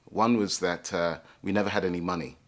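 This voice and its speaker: A man, telling his own life story in a reflective, halting way with many fillers and restarts. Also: sits low, fairly even intonation. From England. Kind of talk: personal life story told to camera.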